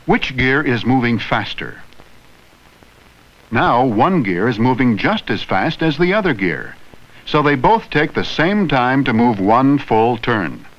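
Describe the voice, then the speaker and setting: A man giving scripted educational narration in a slow, clear, teacherly way, asking the viewer simple questions. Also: mid-range voice, big pitch swings. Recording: dull vintage film soundtrack with faint music.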